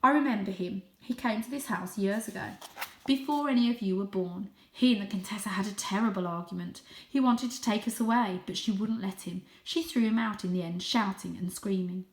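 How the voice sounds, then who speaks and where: A woman voicing an aged male cat, a worried, pleading family elder in a storybook, speaking gravely and urgently. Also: mid-range voice, fairly quick, echoey room, natural voice. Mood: suspicious, grave. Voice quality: croaky at times.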